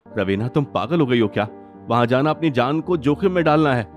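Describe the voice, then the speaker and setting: A man's voice: medium pitch, animated, brisk, faint music behind, voice pushed up, close to an everyday voice. Alarmed young husband, acted by a man, raised voice. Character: alarmed businessman husband, protective, urgent.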